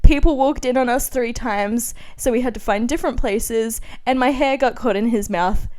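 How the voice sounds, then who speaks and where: A woman in her twenties with an Australian accent hosts a podcast, reading her diary dramatically with animated, self-mocking asides. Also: fairly high pitch, moderate pace. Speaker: podcast host.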